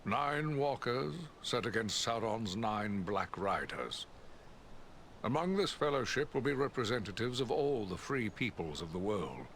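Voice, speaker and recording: An actor playing a wise old wizard in a fantasy game, grave and courteous, speaking in measured, theatrical declamation. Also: male voice, on the low side, sing-song, a bit bright, a little hiss or hum, exaggerated voice. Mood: grave, explaining. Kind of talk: dramatic fantasy game acting.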